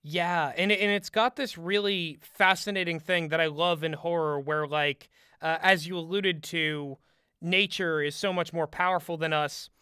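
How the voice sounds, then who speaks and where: A man's voice: fairly high pitch, fairly even intonation, a little slow, bright, forceful tone, clean tone, no room sound, studio quality. A man co-hosting a conversational analytical discussion, thoughtful and articulate in how he builds his ideas. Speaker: podcast co-host and commentator.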